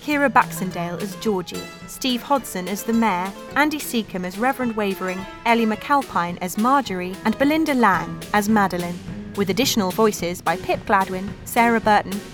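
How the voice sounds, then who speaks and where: A woman delivers scripted end credits as an announcer. Her voice sits at a medium pitch, with prominent music behind it. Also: moderate pace.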